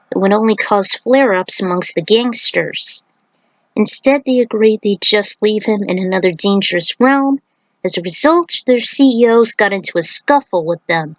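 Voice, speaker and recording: A woman narrates a written story in a mid-pitched voice. The sound is dry, with very little room around it, and she occasionally trips over a word as she reads. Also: no echo, low-quality recording. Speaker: narrator reading own fan fiction.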